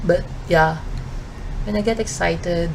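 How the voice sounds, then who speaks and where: A woman's voice: lowish voice, slow, vocal fry, noisy background. A woman in her thirties with a Filipino accent, chatting casually through her makeup routine with lots of "um" and "like". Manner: chatty, with fillers like "um" and "like" while doing makeup. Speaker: beauty vlogger. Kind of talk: casual get-ready-with-me chat.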